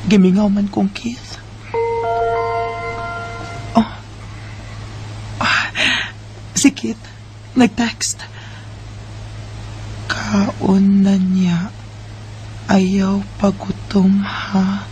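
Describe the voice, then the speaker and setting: A shy young woman played by an actress in a homemade Bisaya radio drama, speaking slowly and hesitantly with a Filipino accent. She guards her feelings, and clear background noise sits behind her voice. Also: mid-range voice, level tone, a little mellow, low-quality recording. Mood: wistful, longing.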